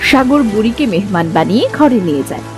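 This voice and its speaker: A woman's voice: mid-range voice, big pitch swings, fast, over music. A woman giving scripted storybook narration for a cartoon folk tale.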